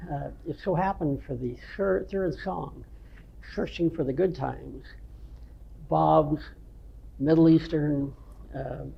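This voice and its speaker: An older man from the North Shore of Massachusetts with a nasal voice, a veteran rock guitarist telling stories from his band days in an unhurried, rambling way. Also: on the high side, vocal fry, breathy edge, lo-fi sound. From North Shore of Massachusetts, USA. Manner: unhurried, rambling storytelling with many ums. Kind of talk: reminiscing interview answers.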